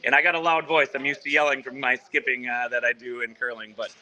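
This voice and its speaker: A man's voice: medium pitch, level tone, fast talker, sharp tone, low-quality recording. A man from a soccer club talking casually on a video call from the training ground, rambling with many restarts. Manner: rambling, full of restarts and fillers. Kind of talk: casual remote interview.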